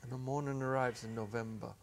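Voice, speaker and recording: A middle-aged man giving a measured, expressive dramatic reading of a story as narrator. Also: medium pitch, slight background noise. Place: small indoor set. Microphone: lapel.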